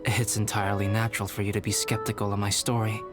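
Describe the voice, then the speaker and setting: A man voicing a composed young male alchemist who speaks in a measured, formal and precise way. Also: on the low side, level tone, lightly acted. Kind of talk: video game voice acting. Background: game music.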